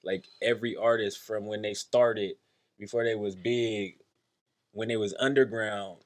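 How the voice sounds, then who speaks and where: A man's voice: fairly low pitch, fairly even intonation, unhurried. A man from California talking casually on a podcast in a laid-back way, often tagging "you feel me". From San Bernardino, California. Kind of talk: casual chat.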